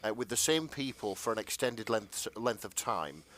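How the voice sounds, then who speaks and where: A man co-hosting a podcast in casual, rambling chat, halting and often interrupting himself as he goes. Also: lowish voice, lively intonation, fairly bright tone. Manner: hesitant, halting, self-interrupting. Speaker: podcast co-host.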